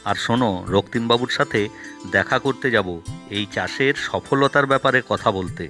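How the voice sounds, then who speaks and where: A man's voice: mid-range voice, normal speed, bright, forceful tone, faint music behind, natural voice. A man voicing an earnest, helpful villager in a cartoon, speaking expressively. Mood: pleased, humble. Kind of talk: Bengali cartoon voice acting.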